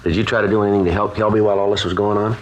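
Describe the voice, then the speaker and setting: A male actor playing a stoic, methodical American police sergeant with a clipped, deadpan delivery in a 1950s radio drama. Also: lowish voice, very fast, lightly acted. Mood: patient questioning. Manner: clipped, deadpan, matter-of-fact. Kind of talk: 1950s radio crime drama narration and dialogue. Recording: noisy old radio recording.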